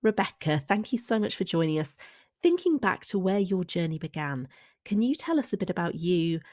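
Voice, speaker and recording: A woman's voice: on the low side, big pitch swings, normal speed, a little mellow. A woman hosting a podcast, reading a polished scripted introduction and asking interview questions. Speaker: podcast host.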